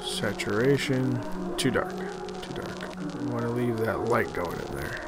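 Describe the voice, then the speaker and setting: Slow, musing man with swinging pitch. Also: animated, loud music behind the voice. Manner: musing, halting, trailing off. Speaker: host of an art-making video series. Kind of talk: casual thinking-aloud screen-recording commentary.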